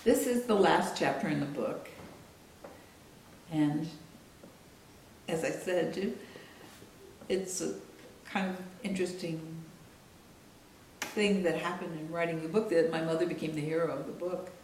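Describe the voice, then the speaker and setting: An American woman in her late sixties speaking as an author, measured, candid and thoughtful. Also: darkish tone. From United States. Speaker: author and artist being interviewed. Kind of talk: reflective interview talk and reading aloud from own book. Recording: roomy echo, distant mic, rumbly noise.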